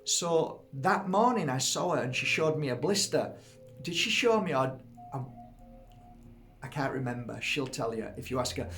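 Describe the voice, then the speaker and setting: A man's voice: medium pitch, expressive, normal speed, a bit bright, soft background music. A man telling stories in a casual vlog, rambling with lots of fillers and restarts as he gets more and more enthusiastic. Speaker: vlogger. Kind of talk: casual testimonial monologue.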